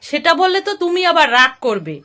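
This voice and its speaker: Woman voicing a naive young married son, high-pitched. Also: very animated, moderate pace, bright, forceful tone, full character voice. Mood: boastful.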